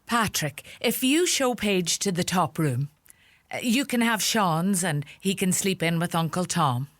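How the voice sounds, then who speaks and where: Radio play: stern Donegal farmhouse mother female actor, middle-aged, highish swooping voice, dry close sound. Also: lively intonation, no echo. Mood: brisk, organising. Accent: Donegal Irish. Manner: brisk, commanding. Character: stern, bossy Irish farmhouse mother of grown sons.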